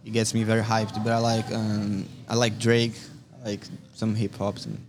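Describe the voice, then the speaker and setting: A man in his twenties from Brazil, speaking English with a Brazilian accent as a non-native speaker, answering interview questions in a friendly, halting way. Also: on the low side, flat delivery, over music. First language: Portuguese. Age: 20s. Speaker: guest soccer player. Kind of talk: casual interview. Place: brewery.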